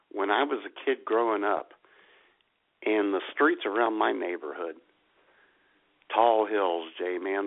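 A gravelly-voiced man co-hosting a comedy radio show, his pitch bouncing all over as he jokes around. He sometimes slips into mock grumpy voices. The sound is thin and phone-like, as if it were coming over an old radio. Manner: animated, playful, does mock grumpy voices.

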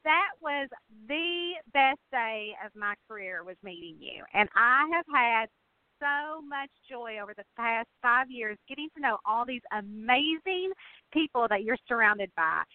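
A woman with a slightly high voice chats as a podcast guest. She is effusive and folksy. She sounds dry and thin, like someone calling in by phone. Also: lively intonation, measured pace, no room sound. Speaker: guest, mystery novelist. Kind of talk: casual interview chat. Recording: narrow phone-line sound.

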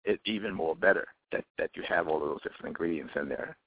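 A man hosting a casual radio interview, relaxed and often repeating words as he finds his phrasing. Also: very deep voice, fast talker, crowd noise. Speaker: radio show host. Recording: dry, tinny phone-like line.

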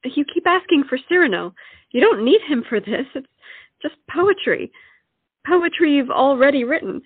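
A woman playing a high-voiced, insistent young sweetheart. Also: lively intonation, moderate pace, no room sound. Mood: coaxing. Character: earnest, romantic, insistent young lady in love. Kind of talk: stage comedy acting. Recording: tinny, narrow-band sound.

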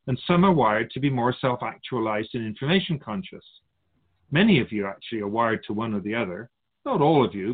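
An actor playing an otherworldly keeper of souls in a radio drama, patiently teaching humans in a measured, all-knowing way. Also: male voice, medium pitch. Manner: didactic, measured explaining, speaks of humans as outsider. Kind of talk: scripted radio drama dialogue. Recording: dull phone-like narrow sound.

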